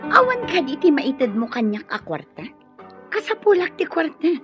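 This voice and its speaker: A woman's voice: high voice, big pitch swings, moderate pace. An actress with an Ilocano Filipino accent playing a scheming, impatient, money-hungry woman in a radio drama. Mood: demanding. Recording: dull lo-fi radio drama, music underneath.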